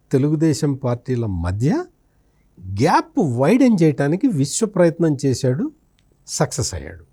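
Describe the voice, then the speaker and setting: A man's voice: on the high side, dark tone. A man giving political analysis as a journalist, explanatory and emphatic, posing and answering his own questions and repeating phrases. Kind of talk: spoken political analysis in an interview.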